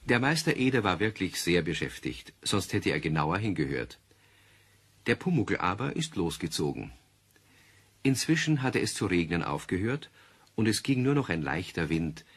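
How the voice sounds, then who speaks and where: A man narrating a German children's radio play as a confiding storyteller, with a husky voice and scripted delivery. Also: low-pitched, heavily acted.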